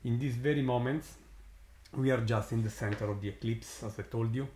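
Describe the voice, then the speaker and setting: A man with an Italian accent, his first language Italian, hosting a live astronomy stream and commenting slowly with many pauses and fillers, sounding amazed. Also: mid-range voice, steady tone, a little mellow, echoey room. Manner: slow, halting, many fillers, awed.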